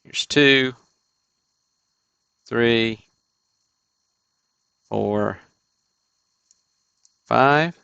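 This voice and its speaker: A man's voice: mid-range voice, drawn-out words, gravelly, pauses often, pushed and bright, clean tone, no echo, dull audio. A man hosting a sports card break livestream, chatting casually and thinking aloud with fillers and restarts.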